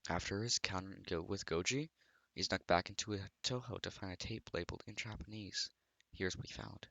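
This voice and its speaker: A man's voice: low voice, brisk, gravelly, heavy background noise. A man giving a scripted, storytelling narration that explains a horror series.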